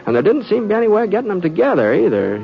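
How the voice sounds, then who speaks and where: A man's voice: high voice, very animated, gravelly, heavily acted. A man playing a kindly, bashful frontier fellow with an American Western accent, in a homespun, hesitant old-time radio style. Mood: wry storytelling. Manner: homespun, hesitant, drawn-out delivery. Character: folksy, kindly, bashful frontier man telling his tale. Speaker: narrator and lead character. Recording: dull vintage radio recording with music.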